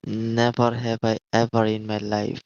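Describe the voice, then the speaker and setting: A man from Bangladesh, a native Bengali speaker, calling in. His voice stays level and monotone, and he sounds short and impatient. It comes through a dull, muffled line with no room sound. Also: lowish voice, a little slow, dry recording. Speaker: caller. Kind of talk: call-in debate. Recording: muffled dry call line.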